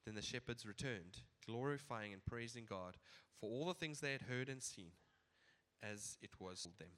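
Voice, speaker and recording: Male preacher with a head cold, nasal and bright, chatty with laughing asides. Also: mid-range voice, animated, moderate pace. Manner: casual, chuckling asides. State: stuffy blocked nose. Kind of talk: sermon.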